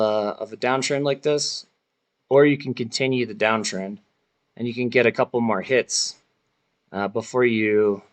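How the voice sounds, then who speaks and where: A man in his thirties giving casual, informal market chart commentary in a halting way with frequent pauses. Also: mid-range voice, fairly bright tone. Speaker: investing vlogger.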